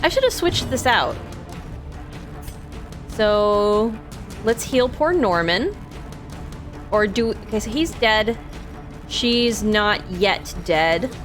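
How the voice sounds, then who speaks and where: A woman's voice: mid-range voice, expressive, slow, pauses often, soft background music. A woman with a Minnesota accent, streaming a game and chatting casually in a rambling way, laughing easily. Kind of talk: casual chat while playing.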